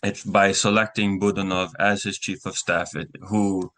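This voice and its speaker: A man's voice: low voice, little pitch movement, moderate pace, pushed and bright. A man in his thirties from the United States, whose first language is Ukrainian, giving methodical interview answers as a guest journalist. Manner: methodical, lists things item by item.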